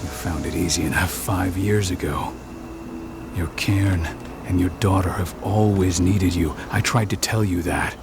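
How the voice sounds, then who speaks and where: A middle-aged man playing a stern, wounded pack leader in a video game, speaking in a grave, measured, curt way. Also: low-pitched, steady tone, gravelly, noisy background. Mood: reproachful. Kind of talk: video game cutscene acting. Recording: loud music behind dialogue.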